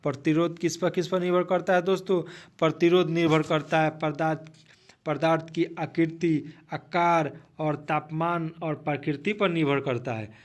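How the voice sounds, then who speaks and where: A man teaching an exam-prep quiz, reading out questions haltingly and repeating the answers to his listeners. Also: a little high, fairly even intonation. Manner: repetitive, asks then restates answers, addresses listeners as friends.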